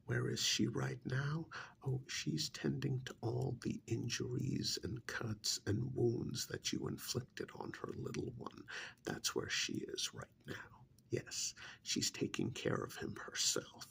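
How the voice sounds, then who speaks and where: A calm, menacing vampire emissary, acted by a man, speaks softly in a near whisper with heavy vocal fry. He is slow, deliberate and condescending, as in an intimate ASMR role-play. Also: mid-range voice. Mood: cold, quietly threatening. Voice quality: breathy, half-whispered, creaky.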